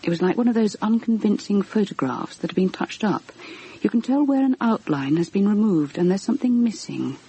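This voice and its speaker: A woman's voice: mid-range voice, lively intonation, brisk, dark tone, husky, low-quality recording. An actress playing an inquisitive, sensitive, genteel woman in a radio drama, speaking in articulate, earnest, literary phrases. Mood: reflective. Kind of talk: radio drama narration and dialogue.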